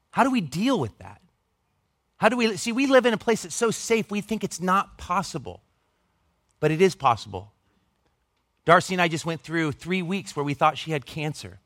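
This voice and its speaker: Male pastor, forties, fairly high register, conversational and emphatic preaching with self-mocking humor, close dry recording. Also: a bit bright, no echo. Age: 40s. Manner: earnest, emphatic, with self-deprecating humor and asides. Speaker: pastor preaching to his church. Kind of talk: conversational sermon.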